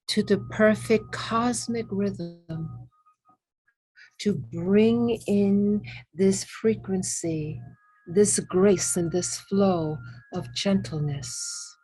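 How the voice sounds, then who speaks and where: Very slow, gentle woman guiding meditation. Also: on the low side, rough recording. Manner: gentle, soothing, reverent, with long pauses between short phrases. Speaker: guided meditation leader. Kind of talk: guided meditation with ASMR elements.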